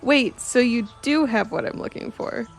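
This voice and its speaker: A woman's voice: on the high side, normal speed, creaky, faint music behind. A woman playing a broke, persistent tiefling adventurer with a wheedling manner in a fantasy audio drama. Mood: persistent, cheeky.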